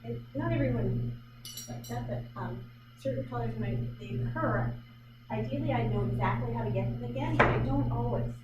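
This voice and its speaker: A woman talks through a painting demo in a chatty, self-questioning way, often stopping on fillers. Her voice is fairly high. She sounds far from the mic in an echoey gallery with loud noise behind her. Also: a little fast, muffled recording. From Vancouver Island, Canada. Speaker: artist leading a painting demonstration. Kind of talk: live painting demonstration, thinking aloud. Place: art gallery.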